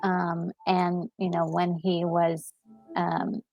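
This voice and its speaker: Excitable woman chatting, creaky voice over music. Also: fairly low pitch, takes it slowly, dry recording, muffled recording. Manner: excitable, emphatic, repeats herself. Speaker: gaming channel co-host. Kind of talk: casual reaction chat about a game story. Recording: music playing behind the voice. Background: background music.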